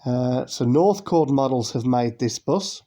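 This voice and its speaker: A man talks through an unboxing in a loose, rambling way, full of ums and restarts. His voice is mellow and dark, and sounds nasal and blocked from a cold he is getting over. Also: medium pitch, expressive, measured pace. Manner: chatty, rambling, many fillers and restarts. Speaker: YouTube unboxing host. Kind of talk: casual unboxing commentary.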